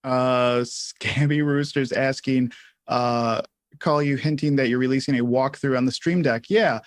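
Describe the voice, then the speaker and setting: A man hosting a casual live software tutorial, thinking aloud in a halting way as he explains things step by step. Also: mid-range voice, steady tone, no echo, clean studio sound. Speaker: livestream host and software instructor.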